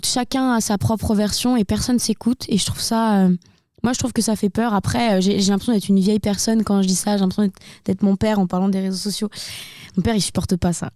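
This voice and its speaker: A woman's voice: mid-range voice, steady tone, fairly quick, warm tone, no room sound. Candid young woman with a slight Burgundy accent. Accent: slight Burgundy French. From Burgundy, France. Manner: candid, talkative, many fillers and restarts. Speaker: singer, interview guest. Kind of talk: casual interview chat.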